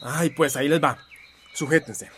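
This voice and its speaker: An actor playing an excitable gossip who relishes his news, teasing and theatrical, in a radio soap opera. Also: fairly high pitch, moderate pace, husky. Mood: gleeful gossip.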